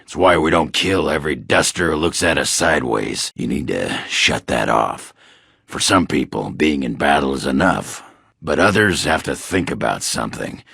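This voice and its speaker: Gruff, crude dwarf berserker for a fantasy game: extremely low, raspy, blunt and hard-drinking. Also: male voice, animated, moderate pace, a bit bright, dry recording, studio quality, full character voice. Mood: gruff, boastful. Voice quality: gravelly, raspy. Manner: crude, blunt, swearing, grunting. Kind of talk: video game character acting.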